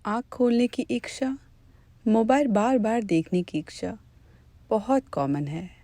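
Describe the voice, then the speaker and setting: A woman leading a guided meditation as an instructor, in a calm, gentle, unhurried manner. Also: fairly high pitch, no room sound.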